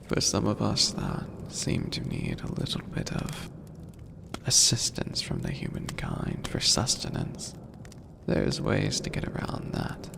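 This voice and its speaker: A performer voicing an imperious, scheming skeleton demon lord in a breathy, creaky whisper, speaking slowly and theatrically in an ASMR roleplay. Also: medium pitch, heavily acted. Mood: amused, menacing. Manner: slow, theatrical, formal, menacing. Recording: noisy, long cavernous reverb.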